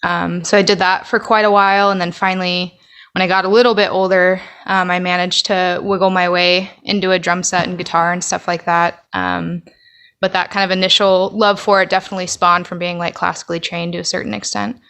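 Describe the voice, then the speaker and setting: A woman's voice: on the low side, level tone, brisk, vocal fry, fairly bright tone, smooth. A woman with an American accent chatting casually as a musician guest on a podcast. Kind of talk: casual conversational interview answers.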